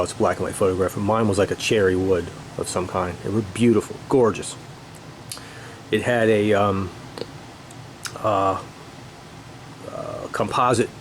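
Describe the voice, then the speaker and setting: A middle-aged man with a fairly low voice talks casually, reminiscing as a keen hobbyist. His phrasing comes in halting, enthusiastic bursts. A faint steady room hum sits behind him. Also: rough recording. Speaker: audio hobbyist presenting own video. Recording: steady room noise, air conditioning hum.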